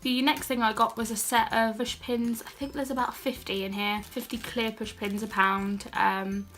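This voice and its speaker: Young British woman, croaky from a cold, flat and chatty haul-vlog delivery. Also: a little high, moderate pace, soft background music. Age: young adult. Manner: chatty and self-deprecating, with asides and fillers. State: has a cold, a bit croaky. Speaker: beauty and haul vlogger. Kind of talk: casual haul vlog chat.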